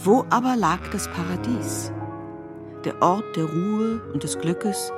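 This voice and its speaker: A woman narrating scripted literary prose for radio in a measured, formal, essay-like manner. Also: on the low side, over music.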